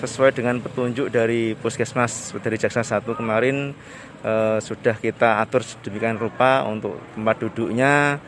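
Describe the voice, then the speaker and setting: A male village official answering a reporter in a formal, halting way with many fillers. His voice sits at a medium pitch. Faint street noise runs behind him outdoors. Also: fairly even intonation. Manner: formal, frequent hesitation fillers. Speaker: village head. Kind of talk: interview answers.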